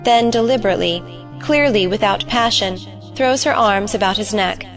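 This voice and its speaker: A woman's voice: mid-range voice, moderate pace, faint music behind. A woman reading stage directions as scripted narration for an audio play.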